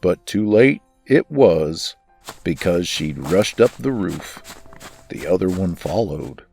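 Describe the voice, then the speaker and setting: A man's voice: low-pitched, lively intonation, takes it slowly, gravelly, a little mellow, soft background music, exaggerated voice. A man voicing a big brother farm pony who tells a rhyming holiday story. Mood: calm storytelling.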